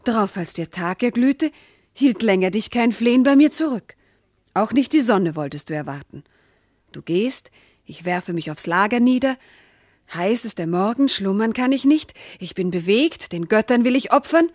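An actress playing a proud, loving noble wife, wounded and indignant, speaking formal, impassioned verse in a classical radio drama. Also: female voice, mid-range voice, expressive, moderate pace, darkish tone, dry recording, clean studio sound, natural voice. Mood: passionate, reproachful.

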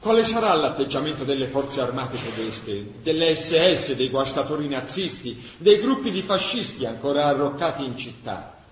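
A man giving an impassioned, deliberate dramatic narration of a historical chronicle. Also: medium pitch, very animated. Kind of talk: dramatic scripted documentary narration for radio theatre. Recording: echoey room, faint background noise.

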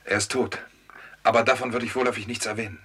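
A man's voice: fairly low pitch, fairly even intonation, fast, crowd noise, lightly acted. An actor playing a doctor turned sleuth in a 1960s radio crime drama, telling his case in a terse, matter-of-fact way. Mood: brisk, terse.

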